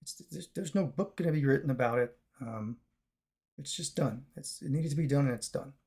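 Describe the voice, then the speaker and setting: Raspy-voiced man, rambling and reflective. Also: mid-range voice, brisk, darkish tone. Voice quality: raspy, chesty. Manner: rambling, with many fillers and restarts. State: recovering after a long fast. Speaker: vlogger. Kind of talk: casual talk to camera.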